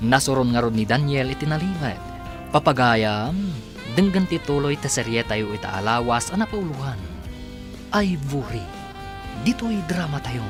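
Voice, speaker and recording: A man's voice: mid-range voice, expressive, moderate pace, music in the background. A man with an Ilocano accent reading scripted narration for a radio drama, with theatrical, emphatic phrasing. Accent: Filipino, Ilocano.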